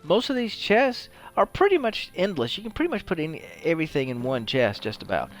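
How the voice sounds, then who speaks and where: A man's voice: fairly high pitch, very animated, brisk, faint music behind. A man giving casual gameplay commentary, rambling and conversational as he thinks aloud. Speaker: host of a video game playthrough show.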